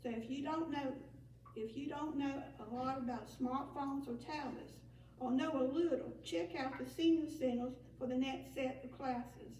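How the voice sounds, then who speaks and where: A woman with a high voice stands at a meeting podium and reads her prepared remarks, stumbling over a word now and then. The mic is some distance away, and the sound carries in an echoey room with faint ambient noise. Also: moderate pace, dark tone. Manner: reads aloud with small slips and restarts. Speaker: senior center director.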